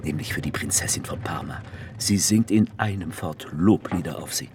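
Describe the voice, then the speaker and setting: An actor playing an urbane, commanding French duke in a radio drama, speaking with genteel, grand politeness. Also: male voice, a bass voice, normal speed, darkish tone. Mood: courteous, coaxing. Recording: clear vehicle and ambient noise.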